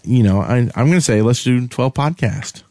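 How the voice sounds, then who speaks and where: A man's voice: medium pitch, animated, moderate pace, warm tone, no room sound, studio quality. A man co-hosting a gaming podcast in a casual, conversational style. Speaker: podcast co-host.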